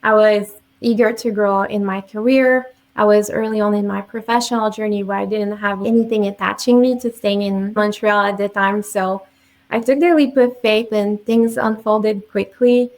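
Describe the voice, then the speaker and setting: A French Canadian woman whose first language is French, a podcast guest telling her story in a steady, optimistic way. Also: mid-range voice, normal speed, low-quality recording. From French-speaking Canada. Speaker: guest, real estate agent. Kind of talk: podcast interview.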